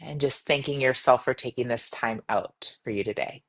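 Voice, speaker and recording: Low-key woman guiding a meditation. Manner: gentle, soothing, measured with long pauses. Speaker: yoga nidra meditation guide. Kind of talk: guided meditation.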